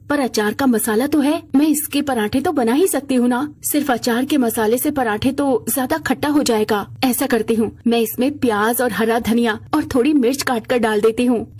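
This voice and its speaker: A woman plays a poor young mother in a cartoon moral tale. She is devoted and worried, speaking earnestly in a high, husky, pleading voice. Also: a little mellow. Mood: resourceful.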